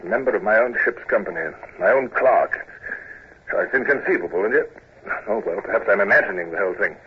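An actor playing a serious, brooding British naval commodore, earnest and formal, in a 1950s radio drama. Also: male voice, on the low side, lively intonation, a little fast, lightly acted. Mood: confiding, wary. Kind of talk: 1950s radio drama acting and first-person narration. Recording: noisy, dull old radio recording.

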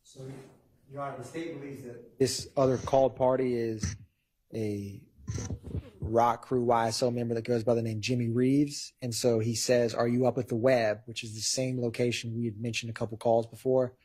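A man arguing to a judge as a prosecutor in a courtroom, formal but halting, with a husky voice. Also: mid-range voice, little pitch movement, a little mellow, slight background noise. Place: large wood-paneled courtroom.

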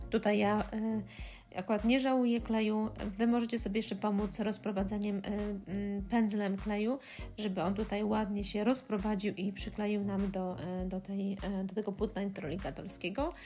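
A woman talking through a step-by-step scrapbooking tutorial, pausing often with filler sounds. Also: medium pitch, warm tone. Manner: hesitant, many filler sounds. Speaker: crafting tutorial host. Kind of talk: step-by-step craft tutorial voice-over.

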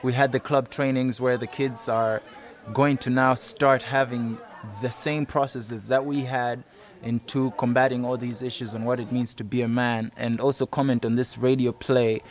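A man's voice: mid-range voice, level tone, normal speed. A man in his twenties giving a reflective, explanatory account in a documentary interview as a project facilitator. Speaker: youth theatre project facilitator. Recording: music playing under the voice.

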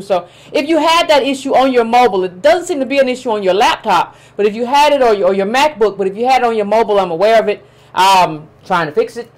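A woman in her thirties, a little hoarse, hosting a casual political commentary livestream from a small room, speaking directly and bluntly to her viewers. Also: fairly high pitch. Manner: direct, opinionated, talks to her chat. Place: small room with a chalkboard backdrop.

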